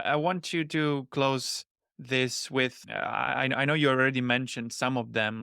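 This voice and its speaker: Gravelly-voiced male podcast host. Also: medium pitch, a bit bright, dry recording, clean studio sound. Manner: hesitant, thinking aloud with "right?" tags. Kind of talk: casual interview questions.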